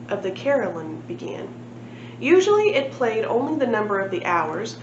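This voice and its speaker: A woman reading a storybook aloud as a library reader. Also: medium pitch. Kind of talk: storybook narration with casual asides. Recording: echoey room, dull sound, noticeable noise.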